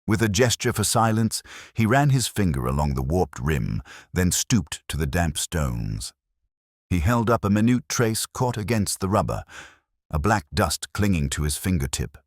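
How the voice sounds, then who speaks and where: A man reading scripted first-person mystery narration in a measured, literary storytelling manner. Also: low voice, very animated, no room sound, studio quality. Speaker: audiobook narrator.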